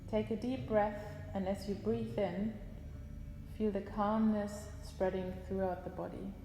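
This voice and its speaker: A woman guiding a yoga nidra relaxation session, giving slow, calm instructions with long pauses. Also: mid-range voice. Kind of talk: guided relaxation meditation. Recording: roomy echo with long reverb, faint hiss.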